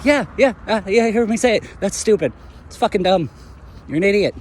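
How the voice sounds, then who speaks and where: A man's voice: high-pitched, expressive, fairly quick, darkish tone, slight background noise. A man playing a brash, stubborn, kind-hearted hero in an audio drama, talking in emphatic, rambling slang. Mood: scornful.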